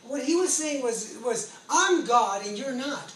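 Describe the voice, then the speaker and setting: A man's voice: very high-pitched, lively intonation, unhurried, fairly bright tone. The sound is roomy and a little distant, with faint noise behind it. An American man in his late forties preaches in testimony style. He is earnest and emphatic, circling back to repeat his phrases for weight. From California, United States. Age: late 40s. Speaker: pastor and ex-convict preacher. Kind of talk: testimony sermon. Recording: roomy echo, distant mic, background noise.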